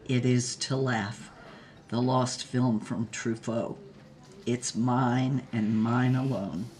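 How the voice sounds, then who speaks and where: A woman's voice: very deep voice, steady tone, slow pace, darkish tone, a little hiss or hum. An actress playing an irreverent, wise former Hollywood starlet in her nineties, with a wry, salty, theatrical delivery in an audio drama. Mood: playful, fond. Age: 90s, as played. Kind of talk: audio drama monologue, reflective storytelling.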